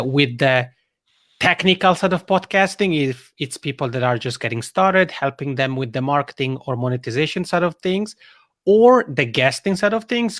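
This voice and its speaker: A man from the Italian-speaking part of Switzerland with a Swiss Italian accent, a podcasting consultant answering interview questions in an articulate, measured way, speaking English as a second language. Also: mid-range voice, a bit bright, no room sound, studio quality. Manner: articulate, measured, explains in lists.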